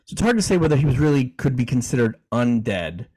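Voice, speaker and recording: A man co-hosts a podcast in an easygoing, chatty way, reading out definitions and chuckling along. His voice sits in a middle pitch range. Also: sing-song, fast, dark tone, poor audio. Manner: easygoing, chuckling, frequent restarts. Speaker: podcast co-host. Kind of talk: casual chat, reading definitions aloud.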